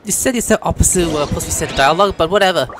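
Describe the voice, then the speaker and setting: A woman's voice: on the low side, animated, rapid-fire. A woman streaming a game with casual, reactive, exclamatory commentary that is mildly profane. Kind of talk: casual let's play commentary, reading dialogue choices. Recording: game music behind the voice.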